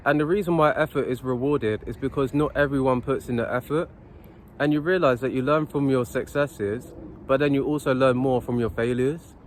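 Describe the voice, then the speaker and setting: A man's voice: medium pitch, normal speed, slight background noise. A young man talking to his phone camera on a city street, giving unscripted self-help advice in an earnest, repetitive, preachy way. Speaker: motivational vlogger.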